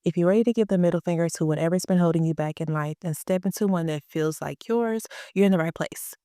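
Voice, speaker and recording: A woman's voice: on the low side, quick pace, warm tone, no echo, clean studio sound. Stuffy-voiced woman podcast host, chatty. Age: 30s. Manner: chatty, emphatic, slangy. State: stuffy from a cold. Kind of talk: casual motivational podcast talk.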